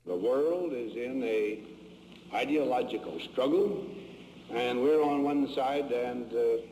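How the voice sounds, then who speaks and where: A man delivers a formal speech in slow, measured phrases. His voice is mellow, and the archival recording rings with the echo of a hall over faint noise. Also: mid-range voice.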